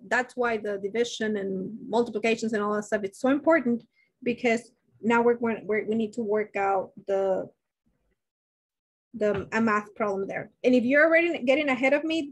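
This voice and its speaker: A woman nursing instructor working through a dosage problem in a live online lecture, restarting sentences and asking her class questions. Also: a little high. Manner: thinks aloud with many restarts, asks class questions. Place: indoors, webcam beside shared slides.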